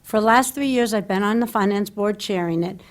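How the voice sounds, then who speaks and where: This middle-aged woman has a voice of ordinary pitch. She reads a prepared statement in an earnest, formal way. She is speaking at a table microphone during a public forum. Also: brisk. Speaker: candidate at a town forum. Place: public candidates forum in a meeting hall.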